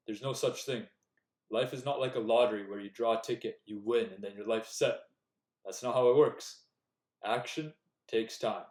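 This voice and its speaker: A man's voice: medium pitch, darkish tone. A young man giving earnest, direct self-improvement advice in a casual talk to the camera. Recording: echoey room.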